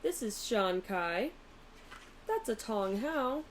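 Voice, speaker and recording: A woman voicing a calm, patient storybook mother, reassuring and matter-of-fact. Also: mid-range voice, slow pace, slight background noise.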